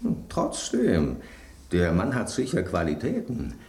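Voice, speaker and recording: An actor playing a blunt, sceptical piece of tableware in a German radio drama, sardonic and theatrical. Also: male voice, low voice, wildly swooping pitch, unhurried, a little mellow, heavily acted. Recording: roomy echo on the voice.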